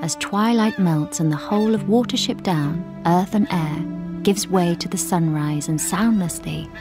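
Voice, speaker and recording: A solemn female storyteller played by an actress narrates a radio drama in a lowish voice. Her delivery is measured and portentous, with music clearly playing beneath it. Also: close to an everyday voice. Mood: solemn, lyrical. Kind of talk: scripted literary narration in a radio play.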